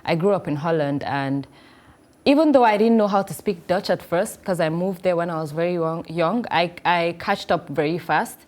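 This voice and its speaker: A woman's voice: lowish voice, normal speed, darkish tone. A woman who grew up partly in Nigeria, a studio panel guest, recounting her own schooling with repeated emphasis. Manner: personal storytelling, repeats "I knew".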